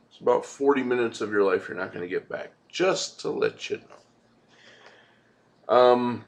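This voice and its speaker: A man's voice: medium pitch, creaky. A man hosting a movie review vlog, rambling casually in an informal, laid-back way with some swearing.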